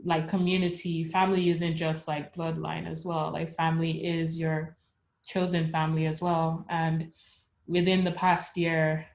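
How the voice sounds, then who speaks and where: A young woman from Nigeria, an artist speaking reflectively in an interview, pausing often to search for words. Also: deep voice, level tone, a little mellow. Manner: thoughtful, searching, with pauses and fillers. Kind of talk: reflective conversation.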